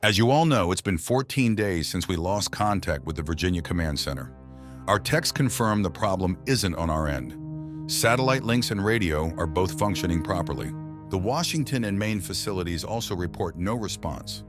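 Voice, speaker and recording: A man's voice: sits low, animated, normal speed, over music. A man playing a commanding, concerned director giving briefings in a horror audio comic. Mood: grave, urgent. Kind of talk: dramatic audio comic acting.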